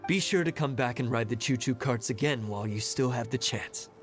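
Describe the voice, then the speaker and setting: A man's voice: medium pitch, a little fast, faint music behind, close to an everyday voice. A man voicing a friendly, encouraging adventurer in a video game, kindly and affable. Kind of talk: video game cutscene acting.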